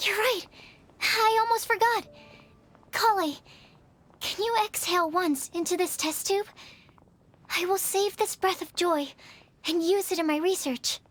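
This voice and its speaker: Giovane studiosa dalla voce acutissima. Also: female voice, very high voice, measured pace, long pauses. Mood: delighted. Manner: gentle, earnest, hesitant. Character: shy, kind, earnest young female researcher. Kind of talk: video game voice acting.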